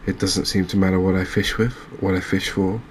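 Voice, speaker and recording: Guy doing quiet asmr game commentary, low flat voice, slow and musing, faint noise behind. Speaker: host of a video game channel. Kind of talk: soft-spoken ASMR gameplay commentary.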